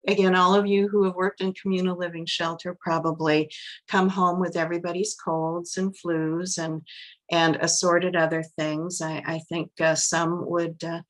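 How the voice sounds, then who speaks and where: Lady on a video call, low-ish voice, easygoing chatty talk with lots of ums. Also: rough recording. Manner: relaxed, chatty, with fillers. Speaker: webinar presenter. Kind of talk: webinar presentation.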